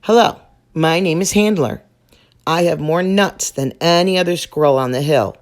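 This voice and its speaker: A woman's voice: deep voice, normal speed, close to an everyday voice. A woman voicing a chatty, self-pleased male squirrel in a storybook, talking in a boastful way. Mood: proud.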